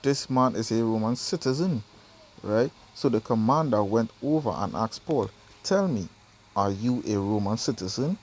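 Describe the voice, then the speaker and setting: Mellow Trinidadian man teaching scripture. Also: lowish voice, expressive, moderate pace. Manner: conversational, keeps asking "right?" and "you understand?". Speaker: Bible teacher. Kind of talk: Bible study video, reading verses and explaining.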